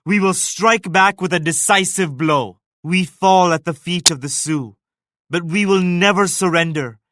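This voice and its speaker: A proud, devout Indian emperor from a strategy game. His voice sits a little high for a man and is recorded close and dry. He speaks formally and solemnly, in measured declarations, like a pious ruler addressing a wartime ally. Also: fairly bright tone, no room sound. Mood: resolute. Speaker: video game leader character. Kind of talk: scripted strategy game voice lines.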